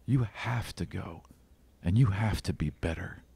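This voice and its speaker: A man's voice: sits low, measured pace, vocal fry, warm tone, breathy edge, voice pushed down, exaggerated voice. Man playing an earnest, protective loyal friend. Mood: solemn urging.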